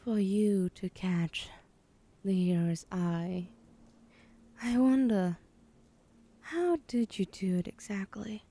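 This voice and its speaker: A woman's voice: mid-range voice, takes it slowly, pauses often, mellow. A woman playing a teasing, menacing female villain in an ASMR roleplay, whispering breathily with a taunting, condescending manner. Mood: teasing, smug.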